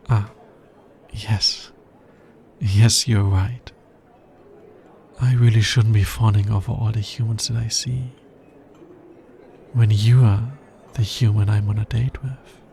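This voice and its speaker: A man playing a tender, earnest alien scientist in an intimate ASMR romance roleplay, soft-spoken and measured. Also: fairly low pitch, gravelly, a little hiss or hum. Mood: flustered, tender. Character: smitten male alien scientist, earnest, tender, fascinated by humans.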